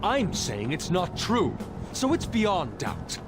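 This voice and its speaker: A proud, loyal young art student in a video game dub. His diction is formal and theatrical, delivered slowly with a lively, sweeping pitch. Music plays behind him. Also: full character voice. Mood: indignant. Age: young adult. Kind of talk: dramatic English video game dub acting.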